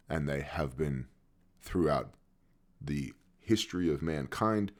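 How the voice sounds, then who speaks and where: A man with a strikingly low, deep voice hosts a news briefing. He reads each item plainly, then drops in dry, sarcastic remarks of his own. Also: a little mellow. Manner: dry sarcastic asides between read news items. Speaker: news commentary host.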